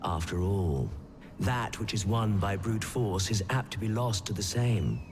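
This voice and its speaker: An actor playing a haughty, pompous riddle-setting creature in a video game, with archaic, theatrical phrasing over music. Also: male voice, fairly low pitch, unhurried. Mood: haughty, taunting. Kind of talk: scripted video game acting. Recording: music behind the voice.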